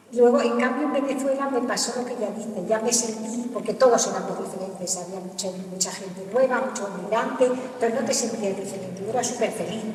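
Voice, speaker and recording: A woman's voice: medium pitch, a little mellow, heavy reverb, in a large hall, recorded from a distance, a little hiss or hum, rough recording. An older woman from Egypt, speaking on a public panel, recalls her life reflectively, with frequent hesitant restarts.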